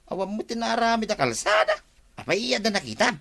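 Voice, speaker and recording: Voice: medium pitch, big pitch swings, brisk, creaky, a bit bright, exaggerated voice. A performer with an Ilocano accent playing a blunt, quarrelsome villager in a radio comedy drama, exclamatory and confrontational. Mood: scornful.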